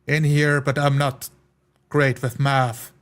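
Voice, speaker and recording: A man's voice: mid-range voice, little pitch movement, slow pace, a bit bright. A man playing a tabletop roleplay game, improvising his character's actions in casual, tentative, loosely phrased speech.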